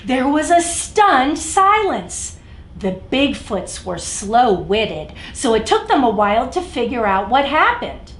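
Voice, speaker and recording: A woman's voice: a little high, big pitch swings, slow. A woman hosting a children's story time, reading aloud expressively and speaking directly to young listeners. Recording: echoey room, faint background noise.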